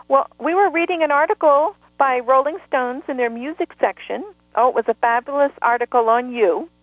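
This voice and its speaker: A woman's voice: on the high side, no echo. A woman hosting a live radio interview, effusive and gushing with praise. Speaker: radio host. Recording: tinny phone-line sound.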